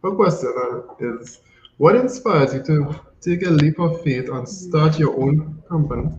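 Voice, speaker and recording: A man's voice: on the high side, lively intonation, measured pace, a little mellow. Formal young male host, roomy dull sound. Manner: formal, polite moderator. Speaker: youth host. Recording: dull roomy mic with faint hiss.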